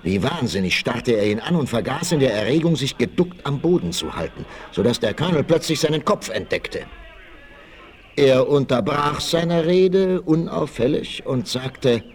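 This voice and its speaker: A man's voice: medium pitch, expressive, moderate pace. A man narrating a 1960s German audio drama, telling the story in a dramatic, suspenseful way.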